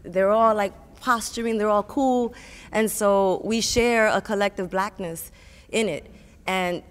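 A woman's voice: mid-range voice, fairly even intonation, measured pace. A woman talking casually in an interview, loose and conversational with restarts. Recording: hall reverb.

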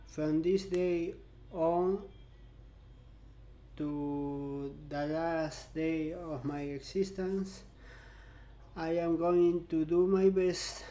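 A young man who stutters, speaking slowly and haltingly in a personal vlog, filmed by a webcam in a bright indoor room. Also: on the high side. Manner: slow and halting, stuttering with long pauses. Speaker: vlogger.

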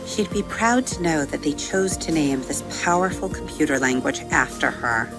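Low-voiced woman reading a bedtime story. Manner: expressive, slowing and softening on vivid words. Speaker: storybook narrator and episode host. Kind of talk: children's bedtime story narration. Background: soft music under the reading.

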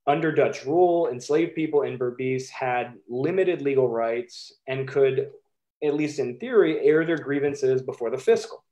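A man in his thirties with an American accent, giving a measured, emphatic academic talk over a video call, with occasional ums. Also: mid-range voice, warm tone, poor audio. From United States. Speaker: historian presenting his book.

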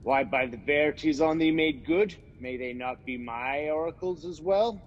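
A man's voice: on the high side, moderate pace, big-room sound, highs cut off, slight background noise, lightly acted. A man acting as a wary, loyal nobleman in an amateur radio drama, speaking verse earnestly and broodingly.

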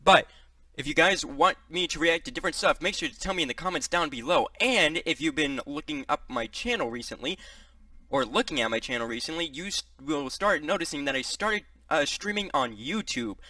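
A young man hosting a reaction video from his bedroom on a headset mic, upbeat and quick to joke and then take it back. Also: on the high side, expressive, sharp tone, dry recording, recorded from a distance. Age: young adult. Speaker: YouTube reaction host. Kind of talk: casual video intro. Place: small bedroom.

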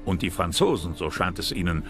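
A man's voice: low-pitched, big pitch swings, fairly quick. A man reading scripted narration for a history documentary. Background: background music.

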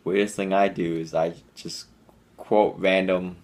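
A man's voice: deep voice, steady tone, a little slow, darkish tone. A man in his twenties co-hosting a fitness channel, cracking jokes in casual chat in a small room. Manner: joking, tosses in quips and movie quotes. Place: small indoor room at home.

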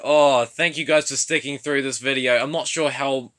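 A man narrates a casual vlog in a bright voice. He sounds awestruck and gushes haltingly, searching for words. The sound is close and dry, as on a handheld phone indoors. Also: medium pitch, steady tone, no echo. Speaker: vlogger. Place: indoor room, voice behind the camera.

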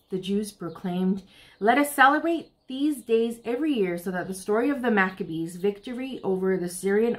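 An American woman, around her thirties, reading aloud expressively as the host of a children's storytime. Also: medium pitch. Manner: addresses young listeners, expressive picture-book reading.

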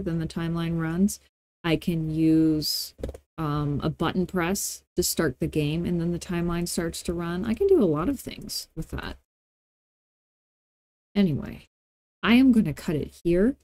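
A woman hosting a casual software tutorial, talking in an informal, chatty way with playful asides. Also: lowish voice, no room sound. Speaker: tutorial host.

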